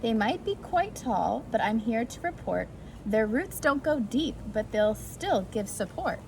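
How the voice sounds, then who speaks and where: A woman's voice: a little high, sing-song, a little slow. A woman reading a rhyming picture book aloud to preschoolers in a sing-song way, with brief asides. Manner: sing-song rhyming delivery addressed to young children. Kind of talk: read-aloud children's storytime with brief asides. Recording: clearly noisy amateur recording.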